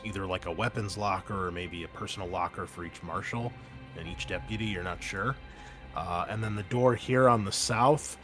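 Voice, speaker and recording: A man narrating a tabletop roleplaying game as game master in a casual, loose way. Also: on the low side. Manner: casual, profane.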